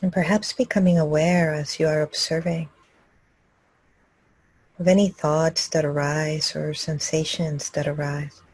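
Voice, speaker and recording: Female meditation guide, calm and level in tone, slightly breathy rasp, close dry sound. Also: low voice, dry recording, low-quality recording. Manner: calm, unhurried, with long pauses between phrases. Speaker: meditation teacher leading a live group session. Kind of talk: guided meditation, slow and gentle instruction.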